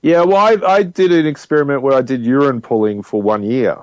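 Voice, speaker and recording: A man's voice: mid-range voice, brisk, dry recording, dull audio. A man speaking conversationally as an interview guest, explaining health ideas and telling personal anecdotes, emphatic when quoting others.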